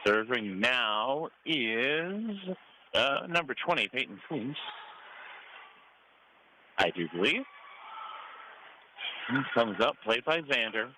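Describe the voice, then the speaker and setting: A man's voice: mid-range voice, sharp tone. American man calling volleyball over gym noise. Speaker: radio play-by-play announcer. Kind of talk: live volleyball play-by-play commentary. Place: high school gym during a match. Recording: clearly noisy gym ambience. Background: gym ambience and noise.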